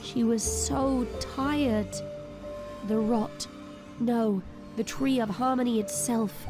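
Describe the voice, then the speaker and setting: Woman narrating a dark drama over music. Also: on the high side, steady tone, takes it slowly.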